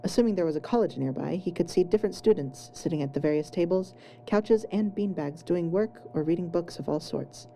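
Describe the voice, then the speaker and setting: A woman with a low, very dark and mellow voice reads scripted story narration. There is faint noise in the home recording. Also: brisk, gravelly, hardly any pauses, low-quality recording. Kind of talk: scripted fan fiction narration.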